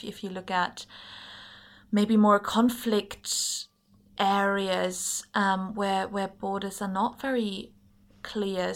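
A woman's voice: medium pitch, a bit bright. A young woman from Germany, a native German speaker, talking thoughtfully and a little hesitantly as a podcast guest. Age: young adult. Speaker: podcast guest, politics master's student. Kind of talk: casual interview conversation.

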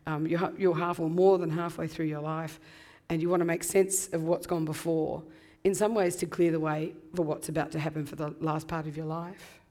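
Hoarse low-voiced woman in her fifties. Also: little pitch movement, moderate pace, dark tone. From Australia. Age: 50s. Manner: reflective, frequent ums. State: hoarse from laryngitis. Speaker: guest author. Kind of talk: conversational interview.

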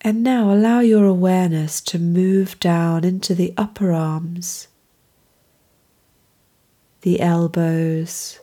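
A woman leading a guided mindfulness meditation in a calm, soothing manner, with gentle, unhurried phrasing. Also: fairly low pitch.

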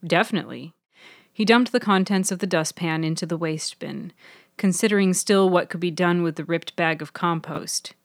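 A woman reading scripted fiction as narrator, measured and articulate. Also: lowish voice, no room sound, studio quality. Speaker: author and narrator.

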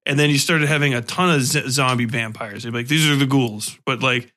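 Bright-voiced man hosting a casual podcast. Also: mid-range voice, brisk. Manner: informal, slangy, rambling. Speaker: podcast host interviewer. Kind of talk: casual chat.

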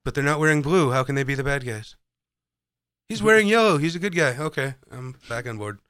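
A man's voice: mid-range voice, sing-song, brisk, a bit bright, clear, resonant tone, no room sound, studio quality. Goofy man joking through a movie. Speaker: movie commentary co-host. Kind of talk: casual riffing movie commentary.

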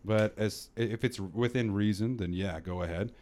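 A man's voice: on the low side, moderate pace, darkish tone. A man hosting a casual podcast, talking in a loose, rambling way with frequent restarts. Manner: rambling, laughs often, many restarts. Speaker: podcast host.

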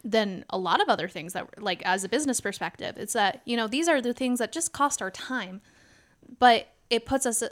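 A woman's voice: on the high side, lively intonation, normal speed. A woman talking casually and earnestly on a podcast as part of a theater company, making her points with emphasis. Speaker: theater company member and stage manager.

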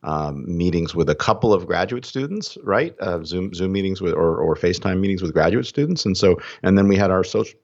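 A man co-hosting a podcast, unhurried and thinking aloud in casual chat. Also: low-pitched, lively intonation. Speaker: podcast co-host, university academic. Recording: dull lo-fi sound.

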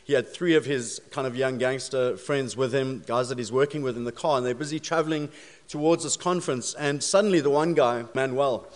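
A man's voice: mid-range voice, a little fast. A man with a South African accent delivers a Sunday sermon into a microphone. His delivery is conversational and humorous as he tells stories to the congregation. His voice carries a long reverb from the large church hall. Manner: storytelling, jokey then prayerful. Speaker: pastor. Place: church sanctuary. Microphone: handheld or stage microphone.

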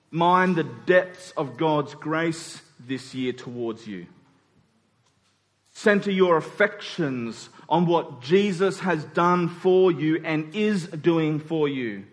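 A man preaching a sermon in an earnest, emphatic way, repeating phrases and pausing deliberately. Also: high-pitched, a bit bright. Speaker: pastor preaching to his congregation. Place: church hall during a service. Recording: reverberant hall sound.

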